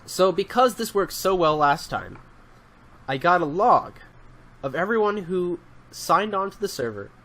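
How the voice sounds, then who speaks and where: A man's voice: high-pitched, big pitch swings, a little slow, a bit bright. A young man hosting a Minecraft series in casual chat, playing a bossy, exasperated detective with lots of ums and uhs. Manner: filler-heavy, exasperated bossy detective act. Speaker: channel host and Minecraft roleplayer. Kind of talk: casual let's-play chat and improvised roleplay.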